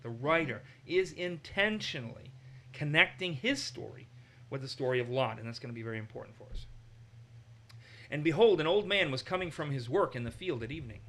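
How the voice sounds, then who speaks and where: A man from Massachusetts, teaching a conversational Bible study and animatedly acting out the quotes. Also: medium pitch. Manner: animated, acts out quotes in raised voices.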